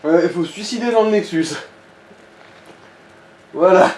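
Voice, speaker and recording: A man's voice: sits high, unhurried, a little mellow. A man giving casual advice and commentary during a video game. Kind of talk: casual chat, gaming commentary. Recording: roomy, distant mic, faint noise.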